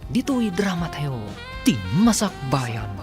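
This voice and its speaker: A man's voice: on the high side, big pitch swings, takes it slowly. A man announcing a radio drama in a dramatic, scripted style. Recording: loud music behind the voice. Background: music.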